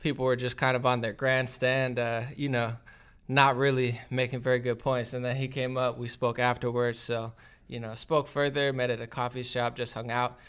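A man's voice: mid-range voice, flat delivery, normal speed, fairly bright tone, clean tone. A man hosting a podcast, talking in a casual, conversational interview style. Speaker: podcast host.

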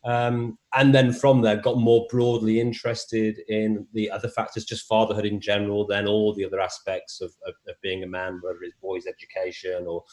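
A man's voice: on the low side, monotone, moderate pace, hardly any pauses, a little mellow. A middle-aged man from England with a faint English accent, answering interview questions with many fillers and restarts. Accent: English, softened by years in Australia. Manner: hesitant, many fillers and repeated words. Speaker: interview guest, men's health advocate.

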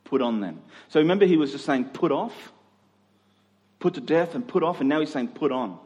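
Aussie guy preaching, highish voice, earnest n conversational, big reverby hall. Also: lively intonation, moderate pace, darkish tone, dull audio. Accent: Australian. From Queensland, Australia. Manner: conversational and earnest, repeats phrases for emphasis. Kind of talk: sermon. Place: large room, preaching to a congregation. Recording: echoey hall with long reverb.

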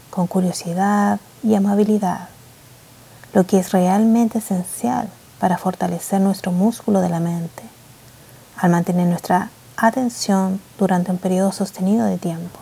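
A woman guiding a mindfulness meditation in a soft, slow, gentle way, with pauses between short phrases. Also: mid-range voice, vocal fry, slightly rough. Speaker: meditation guide. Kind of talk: guided mindfulness meditation, scripted and instructional.

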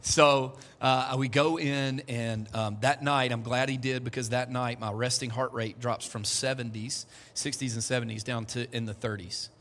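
A man's voice: mid-range voice, fairly even intonation, normal speed, few pauses, a bit bright. A man, preaching a sermon to his congregation in a conversational way, with joking asides. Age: 40s. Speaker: pastor. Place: church hall. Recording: echoey church hall.

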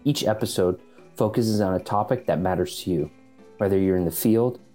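A man with a low, mellow voice reads a podcast intro in a steady, scripted way. Soft guitar music plays faintly behind him. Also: moderate pace. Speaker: podcast announcer.

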